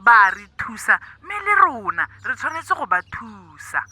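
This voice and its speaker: A woman playing a soft-hearted, generous auntie in a radio drama, with a Botswana accent and homely, hesitant protests. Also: fairly high pitch, very animated, moderate pace, slight background noise, heavily acted. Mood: defensive, pleading. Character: soft-hearted, generous middle-aged Botswana auntie, easygoing about money.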